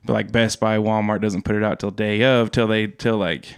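Low-voiced young man, dry sound. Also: level tone, normal speed, vocal fry, clear, resonant tone, no echo. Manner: rambling storytelling with frequent laughs and swearing. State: tired from staying up all night. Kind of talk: casual chat.

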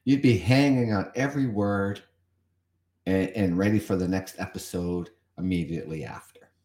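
A man's voice: low-pitched, moderate pace, a little mellow, roomy sound. A Canadian man hosting a solo podcast, chatting and commenting as he reads from an article, with some repetition. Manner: chatty, repeats himself, comments on what he reads. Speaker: podcast host.

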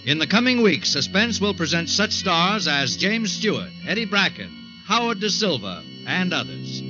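A man's voice: high-pitched, very animated, moderate pace, music in the background. A man reading scripted 1940s radio announcements in a formal, polished manner. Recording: dull 1940s radio recording.

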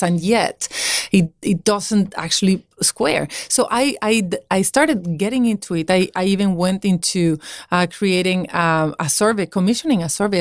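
A woman with a slightly low voice talks earnestly as a guest in an interview, arguing her case with many restarts and "you know, like" fillers. The sound is dry and close, with no room around it. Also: no room sound. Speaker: interview guest, community advocate. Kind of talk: conversational interview answers.

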